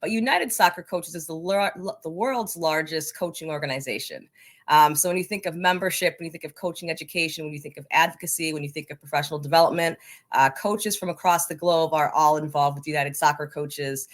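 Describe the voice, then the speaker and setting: A woman's voice: sits low, a little fast. A woman with an American accent talking casually as an interview guest. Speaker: podcast guest, coaching organization leader.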